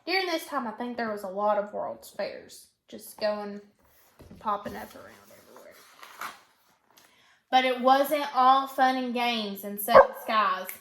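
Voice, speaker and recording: A woman with a high-pitched voice reads aloud as a teacher would. She is instructional, moving between storybook narration and prompting questions. The room around her rings with echo. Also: measured pace, fairly bright tone, a little hiss or hum. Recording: echoey room.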